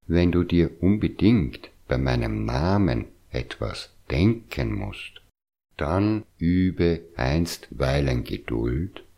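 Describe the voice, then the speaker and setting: Male audiobook reader, extremely low and unhurried, measured, formal delivery. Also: creaky, a little mellow. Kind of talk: scripted reading of a reflective essay.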